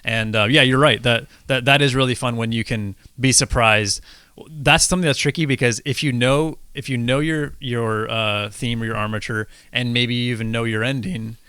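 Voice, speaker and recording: A man co-hosting a podcast in casual chat, earnest and halting as he thinks aloud. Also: medium pitch, steady tone, bright, forceful tone, clear, resonant tone, no echo. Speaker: podcast co-host.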